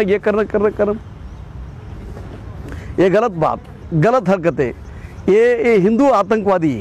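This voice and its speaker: A man's voice: high-pitched, darkish tone, poor audio. A man with a Karnataka accent in his Hindi, giving a combative, impassioned statement as an activist and often repeating his phrases. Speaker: political activist and state president of an organisation.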